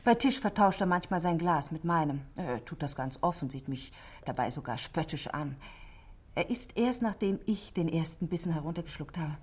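A woman's voice: low voice, expressive, moderate pace, slightly rough. An actress in a 1960s German radio play, playing a composed, middle-aged married woman who speaks politely and articulately, confiding her worries. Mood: earnest, worried.

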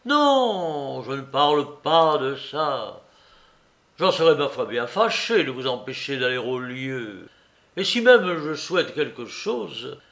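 A man's voice: a little high, fairly bright tone, full character voice. A man voicing an exasperated, sarcastic young clerk in his twenties in a storybook reading. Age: 20s.

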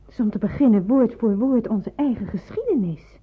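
A woman's voice: on the high side, expressive, fairly quick, husky. A woman acting an earnest, insistent young person in hiding in a wartime radio drama. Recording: muffled, band-limited old radio recording.

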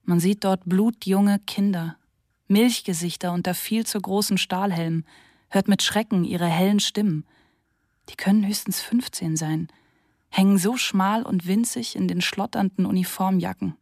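A woman narrating calmly, with quiet intensity, and reading diary passages for a German radio documentary, recorded in a studio. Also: medium pitch, moderate pace, dry recording.

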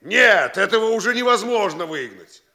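Brief: a smug old-fashioned judge, bright and sharp, voice rising and falling. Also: male voice, high-pitched, lively intonation, moderate pace, heavily acted. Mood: insistent. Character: provincial male judge, self-assured, talkative. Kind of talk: studio radio play acting.

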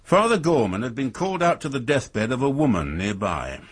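An actor playing a shrewd, methodical British police inspector in a radio drama, speaking in a measured, formal way with a husky voice. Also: male voice, medium pitch, big pitch swings. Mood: firm, official.

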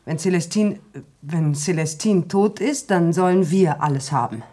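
A woman's voice: lowish voice, moderate pace, mellow, lightly acted. An actress in a German radio drama playing a stubborn, guarded farm woman who speaks plainly. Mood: earnest, insistent. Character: stubborn, distrustful young farm woman, later contrite.

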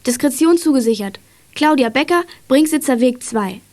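A woman's voice: high-pitched, lively intonation, normal speed, a little mellow. A woman playing an anxious, earnest young girl in a children's audio drama. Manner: earnest, pleading, girlish.

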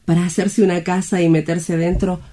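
An actress plays a desperate young woman in a radio drama. Her voice is very mellow and dark in tone. She speaks with fearful, wounded intensity, as someone worn down by hunger and loneliness. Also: lowish voice, level tone, moderate pace, close to an everyday voice. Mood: bitter, sorrowful. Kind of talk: stage-like radio drama acting.

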